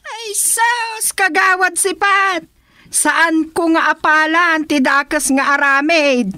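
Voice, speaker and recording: A woman with an Ilocano accent, acting in a comedy radio drama, shrill and confrontational. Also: slow, pushed and bright, no room sound, clean studio sound.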